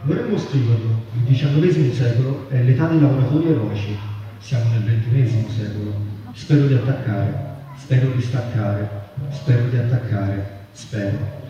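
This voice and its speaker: A man's voice: mid-range voice, moderate pace, mellow, soft background music. A man giving a literary reading aloud at a public event. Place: large echoey venue at a public festival. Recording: dull, distant, very echoey, background noise.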